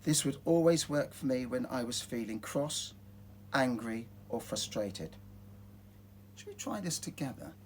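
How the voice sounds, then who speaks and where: A man voicing a gentle, patient mother dragon in a storybook, softly and soothingly. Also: mid-range voice, animated, a little slow, a little hiss or hum, close to an everyday voice. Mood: reassuring, patient.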